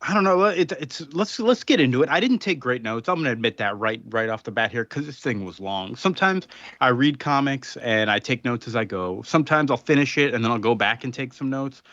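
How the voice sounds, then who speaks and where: A man's voice: mid-range voice, expressive, a little fast, sharp tone, dry recording. A man co-hosting a comics podcast, chatting casually and hesitantly with many fillers. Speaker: podcast co-host. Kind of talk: casual chat. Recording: dry, dull lo-fi sound.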